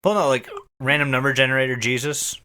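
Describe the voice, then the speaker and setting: A man co-hosting a podcast in casual comic banter, jokey and quick to swear. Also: medium pitch, steady tone, bright, forceful tone, clean tone. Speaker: podcast co-host.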